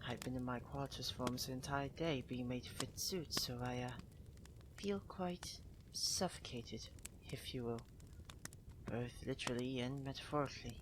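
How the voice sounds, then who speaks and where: A man acting a proud but secretly tender young prince in an ASMR roleplay, posh and halting, teasing and easily put on the defensive. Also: medium pitch, background noise, lightly acted. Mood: wistful, hesitant.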